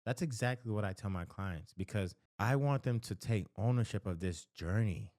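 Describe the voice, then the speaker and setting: A man's voice: lowish voice, normal speed, darkish tone, no room sound, clean studio sound. A man from the American South with an American accent talks as a counselor giving advice, conversational and emphatic, repeating key phrases and often checking in with the listener. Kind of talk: direct-to-camera advice talk.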